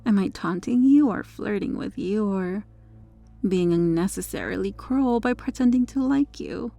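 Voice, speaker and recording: A woman playing a possessive superheroine with a hidden dark obsession in an ASMR roleplay, her manner controlled and teasing. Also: medium pitch, lively intonation, normal speed, gravelly. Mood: smug, possessive, teasing. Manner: smug, flirtatious, controlled.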